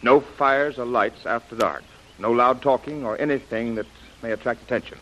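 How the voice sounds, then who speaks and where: Man voicing an authoritative field commander, crisp orders, faint hiss. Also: mid-range voice, moderate pace, fairly bright tone, highs cut off, natural voice. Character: commanding male expedition leader. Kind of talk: 1930s radio acting.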